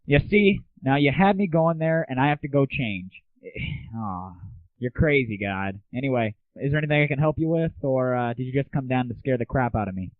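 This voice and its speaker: A man talks like a casual comedy radio host, informal and slangy. His pitch is average. The sound is dry and narrow, like a phone line. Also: expressive, normal speed, no echo. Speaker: internet radio show host.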